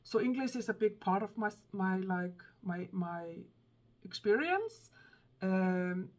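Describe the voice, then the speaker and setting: A woman's voice: mid-range voice, lively intonation, slow pace. A woman from Iceland speaks English with an Icelandic accent, talking casually to the camera. She is thoughtful and hesitant, with many ums and false starts as she searches for her words. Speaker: book vlogger.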